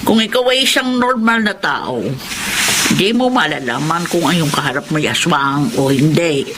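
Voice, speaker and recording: A performer playing a stern elder village seer in a radio horror drama, speaking gravely and deliberately. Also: medium pitch, expressive, lightly acted. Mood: grave, explaining. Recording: noisy ambience behind the voice.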